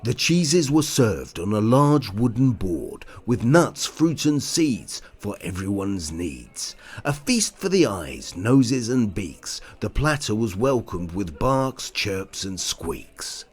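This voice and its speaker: A man narrating a rhyming children's picture book in a theatrical, deliberate way. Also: lowish voice, very animated, slightly rough. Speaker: storybook narrator. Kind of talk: rhyming children's story read-aloud.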